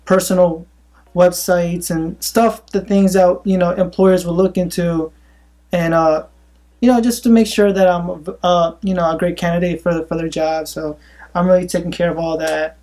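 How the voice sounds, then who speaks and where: A man's voice: high-pitched, normal speed. Laid-back young man chatting casually. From Philippines. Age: young adult. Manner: relaxed, laughs often, says man a lot. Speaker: co-host. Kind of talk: casual chat.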